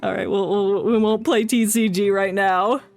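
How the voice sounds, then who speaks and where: A woman's voice: medium pitch, steady tone, normal speed, creaky, darkish tone. A woman livestreaming a game with casual, jokey commentary, giggly and mock-dramatic. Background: game music.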